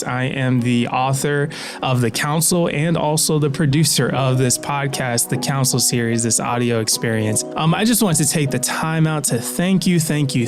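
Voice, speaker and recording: Male podcast guest, mid-pitched, informal and reflective, conversational, music underneath. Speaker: podcast guest, author and producer. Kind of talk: conversational interview and listener address.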